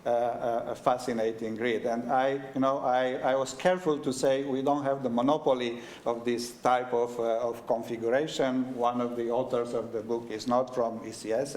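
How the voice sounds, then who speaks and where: Male host, Romanian first language, halting delivery full of fillers, echoey hall recording. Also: mid-range voice, a little mellow. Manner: halting, many fillers and restarts. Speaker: event host introducing the book. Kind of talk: opening remarks at a book launch. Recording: echoey lecture room.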